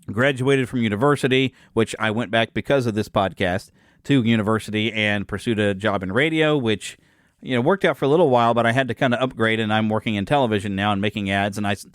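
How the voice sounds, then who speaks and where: A man's voice: medium pitch, no room sound, studio quality. Rambling, chatty male podcast host. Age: 40s. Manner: rambling and chatty, loses his thread and corrects himself; self-deprecating and joking. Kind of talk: casual solo podcast chat.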